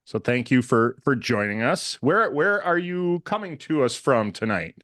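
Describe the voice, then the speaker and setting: Man, mid-pitched voice, podcast host, casual banter, very dry recording. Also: very animated, moderate pace, a bit bright, no room sound.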